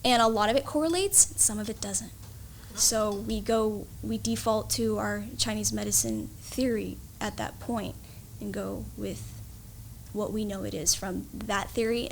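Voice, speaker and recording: Higher-pitched woman with creaky fry. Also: moderate pace, a little hiss or hum. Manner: instructive, matter-of-fact. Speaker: guest expert, acupuncturist. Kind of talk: explanatory interview talk.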